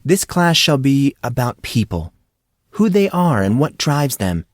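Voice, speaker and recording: A man's voice: medium pitch, sing-song, unhurried, no echo, clean studio sound, voice pushed up. A man voicing a sly, poised young woman teacher with a girlish undertone, speaking in a cool, formal, knowing way. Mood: poised, commanding.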